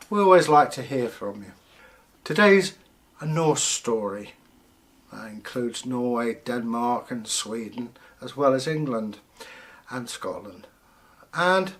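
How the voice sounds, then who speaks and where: A man in the persona of a Viking storyteller, telling folktales in short phrases with theatrical pauses. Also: medium pitch, fairly bright tone. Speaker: channel host and storyteller.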